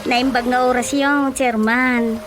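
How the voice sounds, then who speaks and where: High-pitched complaining Filipino village woman. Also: fairly even intonation, slow, music in the background. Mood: chatty, complaining. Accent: Filipino, Ilocano. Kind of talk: Ilocano radio comedy drama acting.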